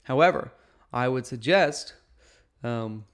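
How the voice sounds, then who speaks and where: A man in his thirties hosts a gaming video with a clean, resonant voice at a middle pitch. He talks casually through strategy, stressing points emphatically and often checking in with a quick "okay" or "right.". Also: lively intonation. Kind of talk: casual game strategy commentary. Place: indoor room at home.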